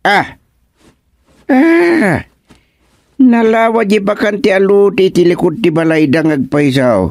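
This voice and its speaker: A man with an Ilocano accent playing a proud, deliberate village chairman in a radio comedy-drama, musing in drawn-out phrases with many interjections. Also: sits high, animated, creaky, a little mellow, no room sound, studio quality, full character voice. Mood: pleased, musing.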